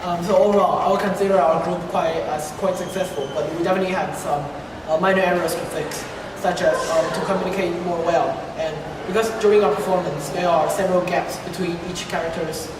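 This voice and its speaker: A man's voice: sits high, fairly even intonation, a little fast. Young man in a very echoey room. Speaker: student puppeteer and interviewer. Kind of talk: informal interview reflection. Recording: very echoey, distant, noisy room.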